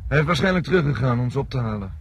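A man's voice: mid-range voice, lively intonation, very fast, creaky. A man playing a businesslike, matter-of-fact army officer briefing subordinates in a radio drama. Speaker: actor in a radio play. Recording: dull, slightly noisy old radio recording.

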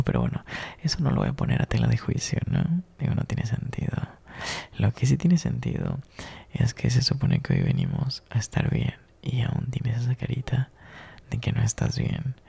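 Male ASMR roleplayer, very gravelly and breathy, soft mellow whisper, close-miked, flirty. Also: mid-range voice, big pitch swings, dry recording.

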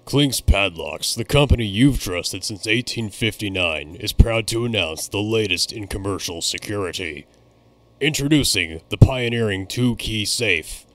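A man's voice: on the low side, lively intonation, unhurried, fairly bright tone. A man reading a polished, salesy old-time radio commercial as announcer. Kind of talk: vintage radio ad read.

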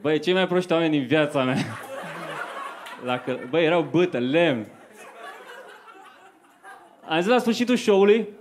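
High-voiced young male stand-up comedian. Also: lively intonation, pauses often, some chatter behind. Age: young adult. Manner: conversational storytelling to a live audience. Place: on stage in a dark comedy club. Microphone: handheld microphone. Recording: faint noise, live club stage recording.

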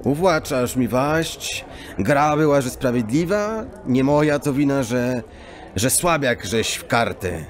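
A man playing a sly, composed hooded card sharp in an audio drama, mock-courteous with quirky archaic phrasing. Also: medium pitch, expressive, slow pace, soft background music. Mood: calm, sly. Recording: tavern crowd murmur behind.

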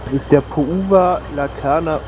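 A man's voice: fairly high pitch, moderate pace, a little mellow. A man talking casually as a guest co-commentator on a gaming let's play. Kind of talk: casual chat. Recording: dull audio with faint vehicle noise.

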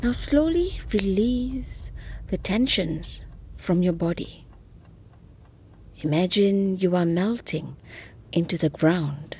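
A woman guiding a relaxation exercise, speaking softly with long pauses and now and then slipping toward a whisper. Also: lowish voice, lively intonation, breathy edge. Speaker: meditation guide. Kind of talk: guided relaxation narration.

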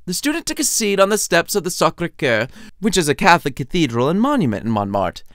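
A man's voice: fairly high pitch, sing-song, fast talker, fairly bright tone, dry recording, clean studio sound. A man reading his own story as a wry, theatrical narrator. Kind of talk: lively scripted storytelling narration.

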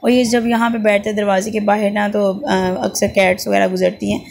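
Pakistani mom in her thirties, hoarse and rambling homely voice-over, low-key and monotone. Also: fairly quick. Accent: Pakistani Urdu. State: sore throat, slight fever. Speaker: vlogger, mother. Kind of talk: casual voice-over narration. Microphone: voice-over at close mic.

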